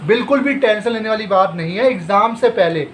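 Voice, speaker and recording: High-voiced man explaining admissions. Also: fairly quick, slight background noise. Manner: direct, reassuring, rhetorical questions. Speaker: education channel host. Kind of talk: explainer talk to students.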